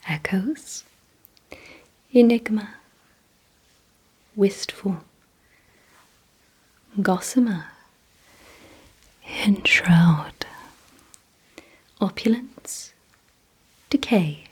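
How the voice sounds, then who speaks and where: A woman performs an ASMR roleplay in a very breathy, mostly whispered voice. She speaks extremely slowly and deliberately, gently giving commands and instructions to the listener. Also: mid-range voice. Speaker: ASMR creator playing a vampire giving memory and attention tests.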